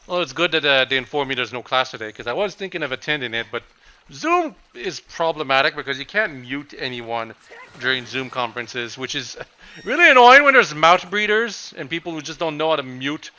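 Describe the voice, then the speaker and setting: A young man with a slightly raspy voice, giving casual, rambling commentary over a video game with frequent pauses and restarts. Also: fairly high pitch, big pitch swings, bright, forceful tone, poor audio. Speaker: let's play commentator.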